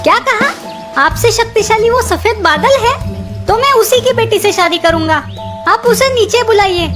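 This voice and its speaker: Super squeaky little cartoon mouse, full of himself, music playing behind. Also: expressive, moderate pace. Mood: indignant, insistent. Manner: self-important, declamatory. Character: small boastful mouse set on marrying. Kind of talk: children's cartoon story acting.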